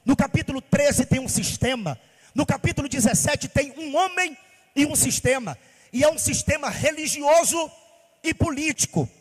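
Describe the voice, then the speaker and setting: A pastor from Bahia preaching with passion, asking rhetorical questions in a sing-song cadence. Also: male voice, extremely high pitch, lively intonation, moderate pace, long reverb tail. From Bahia, Brazil. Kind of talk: sermon.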